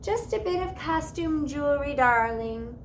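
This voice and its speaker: A woman's voice: high-pitched, lively intonation, a little slow, a little hiss or hum, pitched above the performer's own voice. A woman voicing an eccentric, grand and mysterious great-aunt, theatrical and coy, in a storybook reading. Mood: airily evasive.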